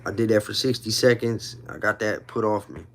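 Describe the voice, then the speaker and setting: A man in his thirties with a fairly low voice talks casually on a livestream. He tells personal stories in informal street slang. His delivery is emphatic, with points often restated. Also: a little fast, a bit bright. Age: 30s. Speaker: livestream host.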